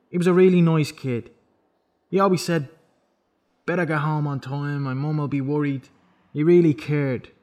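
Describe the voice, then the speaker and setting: A man acting in an audio drama as a remorseful imprisoned killer confessing, halting and full of self-loathing. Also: on the high side, a little mellow, natural voice. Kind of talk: naturalistic radio drama acting.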